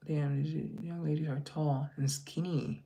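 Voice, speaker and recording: A man's voice: on the high side, fairly even intonation, unhurried, warm tone. A man hosting a casual social media live, reading out viewer comments and answering them in a teasing, joking, chatty way over a phone mic. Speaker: live-stream host.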